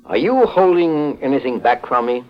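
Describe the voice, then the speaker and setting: An actor playing a calm, probing, authoritative private investigator in a 1940s radio drama, measured, formal and stern. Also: male voice, mid-range voice, natural voice. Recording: dull old 1940s radio broadcast.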